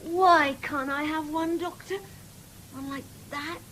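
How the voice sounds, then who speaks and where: A woman's voice: sits high, fairly even intonation, takes it slowly, fairly bright tone. A woman playing a whining, stubborn little country boy in a radio drama. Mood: petulant. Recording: faintly hissy 1970s radio recording.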